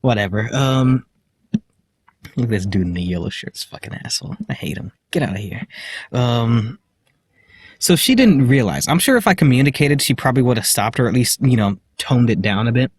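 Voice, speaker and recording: A young man vlogging outdoors into a handheld phone. He tells a personal story in a quiet voice, full of fillers and self-deprecating nervous laughs. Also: mid-range voice, animated, moderate pace, breathy edge, dry recording. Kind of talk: rambling personal story to camera. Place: outdoors, walking in public.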